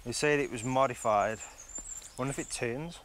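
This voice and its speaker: A man's voice: medium pitch, normal speed, a bit bright, a little hiss or hum. A man, chatting casually and thinking aloud as he explores outdoors, recorded on a phone held at arm's length. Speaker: vlogger. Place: outdoors in a forest.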